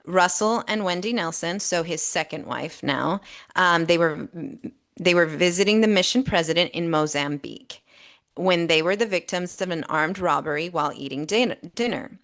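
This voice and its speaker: A woman's voice: fairly low pitch, normal speed, a bit bright. A woman co-hosting a podcast, explaining and reading out reports in a methodical, emphatic way, often checking in as she goes. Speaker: podcast co-host.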